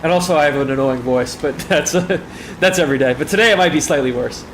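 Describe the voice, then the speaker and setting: A man's voice: on the high side, fast talker, a bit bright, a little hiss or hum. Stuffy, rambling streamer guy. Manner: rambling, self-deprecating, apologetic. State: stuffy nose, tired. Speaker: game streamer. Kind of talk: casual live-stream commentary.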